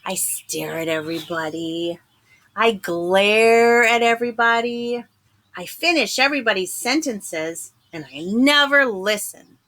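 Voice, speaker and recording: A woman voicing a small, glum yet earnest bad sunflower seed in a picture book, confiding and dramatic with drawn-out emphasis. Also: medium pitch, fairly bright tone, lightly acted. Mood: confessing, defiant. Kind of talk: picture book narration in first person.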